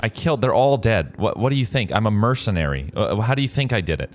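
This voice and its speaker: A man's voice: lowish voice, sing-song, fast talker. An American man giving casual, jokey gameplay commentary, slangy and talking back to the game. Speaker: gaming video host. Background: game music and vehicle sounds.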